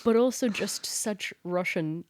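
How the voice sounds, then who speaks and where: A young woman from Ukraine, co-hosting a casual podcast and telling a story in an animated way, full of "like". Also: mid-range voice, a little slow, no room sound. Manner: animated storytelling, lots of "like" and laughing. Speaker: podcast co-host. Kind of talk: casual chat.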